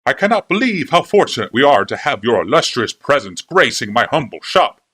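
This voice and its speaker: A male narrator voicing an excitable, groveling shopkeeper who speaks in a rapid, gushing cadence. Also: medium pitch, slightly rough, voice pushed up. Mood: fawning. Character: fawning, excitable older male clockmaker.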